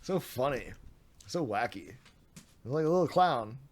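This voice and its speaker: A man's voice: medium pitch, sing-song, a little slow. A man streaming, reading a document aloud with sarcastic, mocking asides, his voice getting a little worn.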